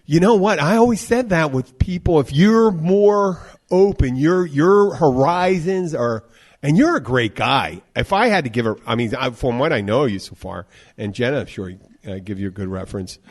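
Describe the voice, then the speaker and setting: A man's voice: a little high, expressive, moderate pace. A man in his fifties, a bartender hosting a casual podcast chat, rambling and acting out what people say. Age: 50s. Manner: rambling, acts out quoted voices.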